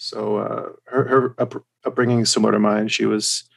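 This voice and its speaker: A man with a general American accent, chatting casually as a podcast guest in a hesitant, halting way. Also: on the low side, level tone, creaky. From United States and Germany. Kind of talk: casual chat.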